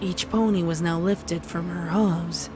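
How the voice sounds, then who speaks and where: A woman's voice: on the low side, fairly even intonation, a little slow, noisy background. A woman narrator reading a scripted, dramatic story.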